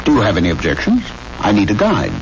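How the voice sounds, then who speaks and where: An actor playing a brisk military lieutenant in a 1970s radio drama, commanding and sardonically familiar, probing with genial menace. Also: male voice, fairly low pitch, wildly swooping pitch. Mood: probing, wry. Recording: dull, very noisy old radio broadcast.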